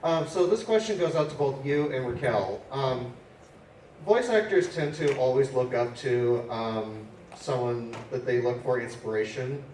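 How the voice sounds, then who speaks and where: A man in the audience asks a question politely, pausing often with fillers. He is well off the microphone, and the large hall adds a broad echo. A light hum sits behind him. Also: mid-range voice, animated, darkish tone. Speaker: fan asking a question. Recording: echoey hall, distant mic.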